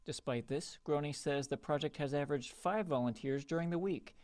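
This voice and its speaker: A man's voice: on the high side, fairly quick, darkish tone, dry recording. A man with an American accent reading a scripted television news report as a field reporter.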